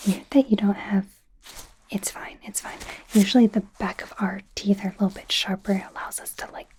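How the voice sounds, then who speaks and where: A woman voicing an awkward, gentle werewolf doctor in a hesitant, breathy whisper for an ASMR roleplay. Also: medium pitch, flat delivery, close to an everyday voice. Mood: bemused, curious. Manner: hesitant, halting, softly reassuring. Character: awkward, curious young female werewolf doctor, gentle and apologetic. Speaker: ASMR performer.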